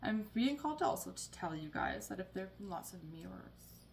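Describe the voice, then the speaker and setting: A woman's voice: mid-range voice, expressive, a little fast, gravelly, slight background noise. A woman giving a casual, rambling spiritual card reading to her viewers, peppered with "like" and the odd swear. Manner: chatty, rambling, swears casually, frequent laughs and "like". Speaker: tarot reader.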